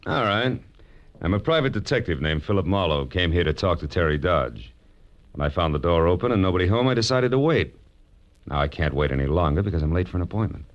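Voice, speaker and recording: A man's voice: deep voice, sing-song, quick pace, muffled recording. An actor playing a wry, tough-talking American private detective, narrating his case in 1940s radio drama style. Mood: tough, sardonic. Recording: vintage radio drama with music underneath.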